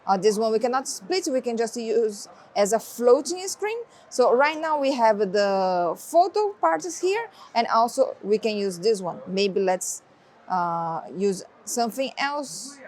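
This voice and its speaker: A woman's voice: a little high, slight background noise. A woman whose first language is Portuguese, casually demonstrating a tablet's features step by step. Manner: relaxed, explaining step by step, non-native phrasing. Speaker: tech reviewer. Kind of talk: casual product demo.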